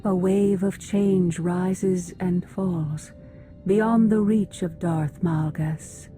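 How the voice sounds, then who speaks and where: A woman's voice: fairly low pitch, fairly even intonation, very slow, dark tone, faint music behind. An actress playing a stern, distrustful female alien council leader in a video game, formal and grave. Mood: grave foreboding. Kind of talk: video game cutscene acting.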